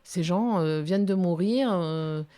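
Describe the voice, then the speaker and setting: A woman's voice: on the low side, unhurried, warm tone, smooth. A woman in her fifties, a documentary filmmaker, giving reflective interview answers with many hesitations and restarts. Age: 50s.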